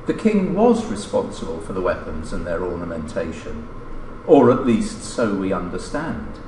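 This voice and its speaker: A man reading a formal academic conference paper aloud in a measured, scholarly way, pausing mid-phrase. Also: sits low, very animated, darkish tone. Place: indoor lecture hall, at a lectern. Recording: echoey lecture room, distant mic, background noise.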